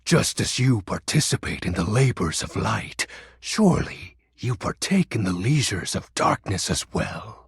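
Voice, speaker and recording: Casting: a self-important lord of darkness for a game; low, creaky rasp, slow theatrical grandeur. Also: male voice, very animated, exaggerated voice. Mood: haughty, scheming. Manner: pompous, theatrical, archaic grandiose diction. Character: melodramatic, self-styled male dark lord, personification of darkness. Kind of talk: video game character voice acting.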